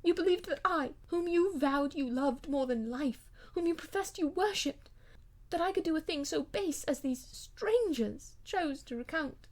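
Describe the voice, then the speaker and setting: Woman acting a proud, high-voiced, impassioned noblewoman. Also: measured pace. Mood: vehement, wounded. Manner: vehement, pleading, theatrical old-fashioned speech. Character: proud, impassioned young noblewoman pleading with her husband.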